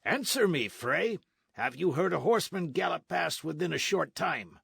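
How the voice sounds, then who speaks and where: In a dry, close recording, a blustering army sergeant barks his demands, loud and rough, as a man reads him. His questions come out pushed and lively. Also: on the high side, moderate pace, no room sound, pitched above the performer's own voice, full character voice.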